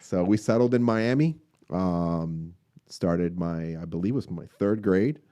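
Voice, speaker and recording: A man from Cuba in his late forties, a restaurant owner telling his story as a guest, relaxed and hesitant with many fillers. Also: sits low, a little slow. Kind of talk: interview answers, personal story. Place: podcast room in a restaurant.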